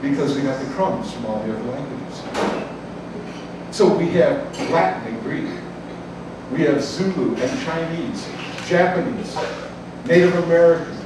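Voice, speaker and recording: A man's voice: on the high side, animated, unhurried, long pauses, very noisy. A man in his sixties from Chicago's south side, telling a story live with folksy, humorous asides. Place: cafe. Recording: echoey cafe, distant mic, chatter. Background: room ambience and chatter.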